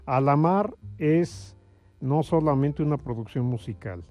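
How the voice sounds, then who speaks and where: Mellow-voiced male interview host. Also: a little high, soft background music. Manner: halting, drawn-out, effusive phrasing. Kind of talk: hosting a music interview.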